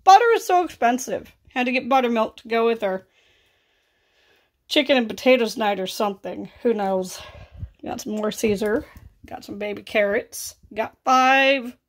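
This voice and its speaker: A woman in her thirties with a scratchy, raspy voice and vocal fry, chatting casually through a grocery haul in her kitchen. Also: a little high, animated, moderate pace. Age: 30s. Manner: chatty and offhand, rising into exclaimed asides. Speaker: vlogger. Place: home kitchen, indoors.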